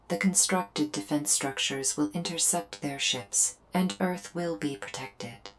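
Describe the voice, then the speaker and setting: A woman's voice: sits low, slight background noise. A woman playing a solemn alien invader soldier in a roleplay audio drama, speaking in a stilted, formal, earnest way. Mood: resigned, grave. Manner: stilted, formal, literal speech. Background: background music.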